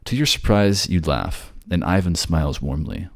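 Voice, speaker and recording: A man's voice: low-pitched, lively intonation, moderate pace, no room sound, studio quality. A man narrating a scripted second-person fantasy story in an ASMR audio drama style. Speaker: narrator and voice actor.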